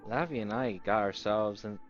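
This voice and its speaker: Male let's-play reader, low register, informal narration with self-corrected slips, music bed. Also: moderate pace, dull audio. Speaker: let's-play host reading a visual novel aloud. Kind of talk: narration read aloud with casual asides. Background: game background music.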